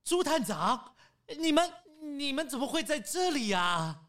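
A woman's voice: high-pitched, very animated, unhurried, fairly bright tone, exaggerated voice. A woman voicing a sly, cowardly fox robber in a children's audio drama, stammering and sing-song. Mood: flustered.

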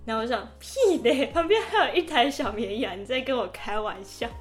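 A woman's voice: on the high side, fairly quick, faint music behind. A young adult woman with a Taiwanese Mandarin accent co-hosts a casual podcast, telling stories animatedly and acting out the lines. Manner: animated storytelling, acting out quotes and inner thoughts. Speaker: podcast co-host. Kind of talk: casual podcast chat with personal anecdotes.

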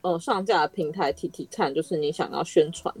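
A woman with a Taiwanese Mandarin accent hosting casual podcast chat in a measured, even-handed way. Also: deep voice, slightly rough, soft background music.

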